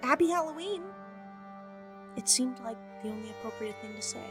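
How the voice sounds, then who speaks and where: A woman playing a wry first-person narrator of a Halloween story, telling it in a deadpan, scripted way. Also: fairly high pitch, moderate pace, over music, natural voice. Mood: wry, measured.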